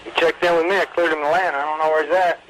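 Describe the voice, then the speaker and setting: A man's voice: on the high side, fairly even intonation, racing. A man working as an air traffic controller, speaking in clipped phrases over a radio transmission. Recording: noisy air traffic radio recording with clicks.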